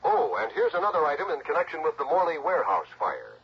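An actor playing a brisk, gossipy male local newscaster, announcing in a declamatory 1960s radio news style. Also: a little high, wildly swooping pitch. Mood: brisk, insinuating. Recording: dull, faintly noisy old radio recording.

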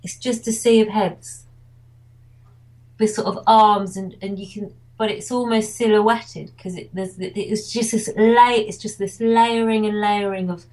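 A woman's voice: mid-range voice, fairly even intonation, unhurried, darkish tone, rough recording. A woman from England, a wedding photographer, talking casually in an interview and rambling with many restarts.

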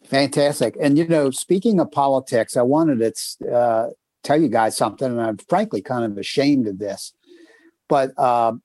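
A man's voice: medium pitch, normal speed, a little mellow, no room sound. A man co-hosting a podcast, chatty and storytelling, full of fillers as he plugs upcoming segments. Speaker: co-host. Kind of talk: casual podcast chat. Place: home in northern Kentucky.

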